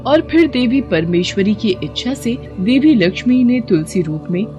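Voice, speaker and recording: A woman narrating a devotional cartoon story in an even, explanatory manner. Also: a little high, normal speed, music in the background.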